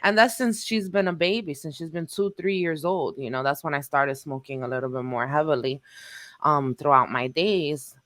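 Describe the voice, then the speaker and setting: A woman's voice: low-pitched, moderate pace, fairly bright tone, dry recording, studio quality. A woman, chatting animatedly and talkatively as a podcast guest. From New York. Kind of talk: casual chat.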